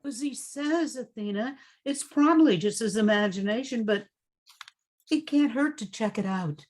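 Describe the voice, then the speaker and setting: A woman's voice: on the high side, expressive, moderate pace, darkish tone. A woman playing a practical, bossy but reassuring literary agent in a radio drama. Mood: concerned. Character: brisk, practical female literary agent and boss.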